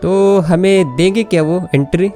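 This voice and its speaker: Very fast-talking Indian male gamer. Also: sits high, music in the background. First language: Hindi. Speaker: gaming YouTuber. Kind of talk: casual gameplay commentary.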